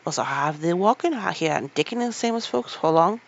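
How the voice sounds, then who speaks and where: A reader voicing a kind, cheerful country boy in a Yorkshire dialect, speaking loudly and heartily in a storybook reading. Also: mid-range voice, expressive, fairly quick, creaky, lo-fi sound. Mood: encouraging. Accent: Yorkshire dialect put on. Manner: loud, hearty.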